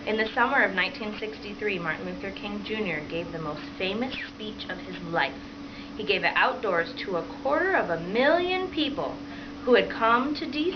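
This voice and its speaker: A woman reads aloud to children as a teacher would, asking questions and encouragingly repeating their answers. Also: medium pitch. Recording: dull, lo-fi home recording with clear background noise.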